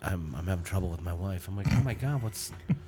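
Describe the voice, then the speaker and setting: A man's voice: low-pitched, fast talker, slight background noise. A man chatting as a guest on a podcast, telling anecdotes and acting out the lines of people in them. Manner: animated storyteller, acts out dialogue. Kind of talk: casual chat, telling anecdotes.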